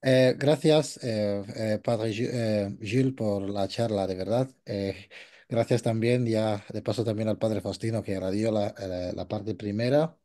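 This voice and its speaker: A man's voice: lowish voice, fairly even intonation, measured pace, warm tone. A man speaking at a conference, sharing his experience with hesitant speech full of fillers. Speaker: conference participant. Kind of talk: conversational remarks at a conference.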